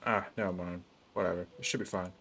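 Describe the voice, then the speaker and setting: A man's voice: low voice, fairly even intonation, quick pace, fairly bright tone, a little hiss or hum. A man giving casual, off-the-cuff livestream commentary as he plays a video game. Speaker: game streamer. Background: faint game music.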